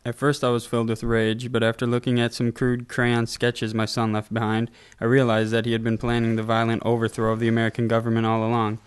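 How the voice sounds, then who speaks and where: A solemn father played by a man gives a comic campaign testimonial. The voice is smooth and of middling pitch, extremely flat and deadpan throughout. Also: brisk, hardly any pauses, close to an everyday voice. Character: solemn, grateful bereaved father giving testimonial. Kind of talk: parody ad testimonial.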